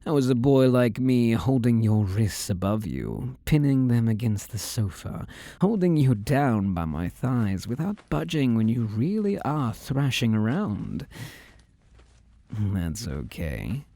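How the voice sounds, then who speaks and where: A man's voice: medium pitch, very animated, warm tone, no echo. Man performing a possessive demon lover, audio drama, teasing, coaxing, smug, widely swooping intonation, close dry sound. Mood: smug, domineering. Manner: slow, teasing, coaxing endearments, condescending. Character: controlling, possessive demon-possessed ex-boyfriend, smug and menacingly tender. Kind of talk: scripted roleplay audio drama.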